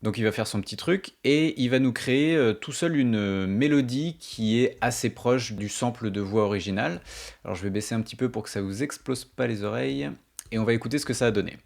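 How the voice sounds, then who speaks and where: A man's voice: medium pitch, fairly quick, gravelly, a little mellow. Man presenting a casual tutorial. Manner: colloquial, explanatory. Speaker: music production tutorial host. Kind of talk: informal step-by-step software tutorial.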